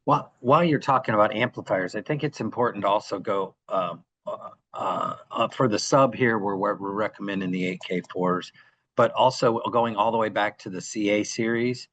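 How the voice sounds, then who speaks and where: A man's voice: lowish voice, moderate pace, fairly bright tone. A man co-presenting a technical class, adding practical advice in a casual, conversational style.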